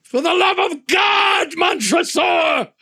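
A man's voice: extremely high pitch, expressive, takes it slowly, pushed and bright, raised voice, some chatter behind, pitched above the performer's own voice, full character voice. A man playing a doomed, drunken nobleman in a gothic story reading, theatrical and pleading with forced joviality. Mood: anguished pleading. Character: drunken doomed nobleman, chained, shrill, desperately laughing.

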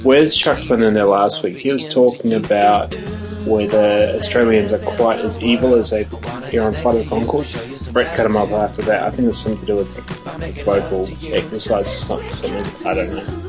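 A man's voice: fairly low pitch, steady tone, normal speed, poor audio. A man from New Zealand with a New Zealand accent, delivering a comic spoken monologue as a podcast contributor. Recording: music playing behind the voice.

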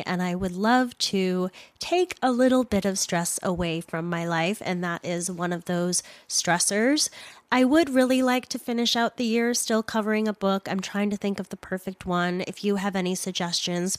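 A woman's voice: medium pitch, no room sound. Woman podcast host, stuffy congested voice, chatty and rambling, dry close sound. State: congested from a cold. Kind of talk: casual solo podcast chat.

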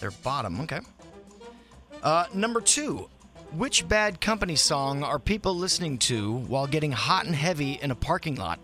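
A man co-hosting a radio show, running a quiz game with energetic banter. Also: on the high side, normal speed, soft background music.

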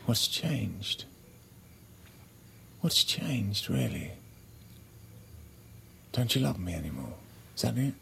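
Low, creaky, stammering husband. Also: male voice, sing-song, sharp tone, slight background noise, heavily acted. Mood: hurt, pleading. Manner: halting, stammering, repeats himself. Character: pleading, insecure, flustered husband in a failing marriage. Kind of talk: intimate naturalistic audio drama acting. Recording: music under the dialogue.

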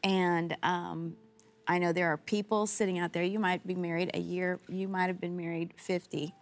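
A woman's voice: lowish voice, little pitch movement, moderate pace, faint music behind. A woman co-hosting a talk show interview, earnest and emphatic as she sets up a request for prayer. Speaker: co-host.

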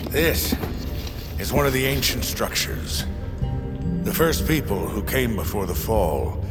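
An older fantasy laborer, proud and bitter, who speaks like a keeper of old legends. His delivery is slow and solemn with big swings of pitch. It rings in long reverb under loud music. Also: sing-song. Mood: solemn wonder. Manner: portentous, solemn storyteller. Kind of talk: dramatic fantasy audio drama acting.

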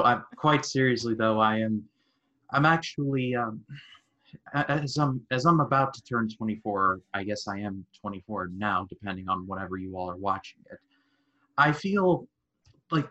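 Bright-voiced young man, lo-fi podcast sound. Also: lowish voice. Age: 20s. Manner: rambling, jokey, self-deprecating puns. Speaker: podcast co-host. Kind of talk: casual chat.

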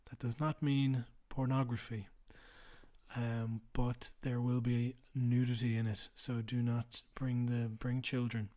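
A tired man hosting a podcast late at night, speaking low and quiet in a rambling, self-deprecating way. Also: level tone, unhurried. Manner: rambling, self-deprecating, drops to a mutter at times. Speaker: podcast host. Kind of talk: casual solo housekeeping chat.

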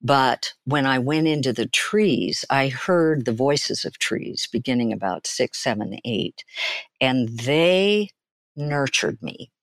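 An older woman telling personal stories as an interview guest, phrasing deliberately and emphatically with pauses. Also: extremely low pitch, expressive, no room sound. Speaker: guest, therapist and author.

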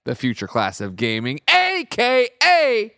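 A man hosting a video game news show, his voice roughened from two nights of screaming, talking with big emphatic hype. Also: sits high, measured pace, no room sound, clean studio sound. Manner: animated, emphatic hype delivery. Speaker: host. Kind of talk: energetic podcast show hosting.

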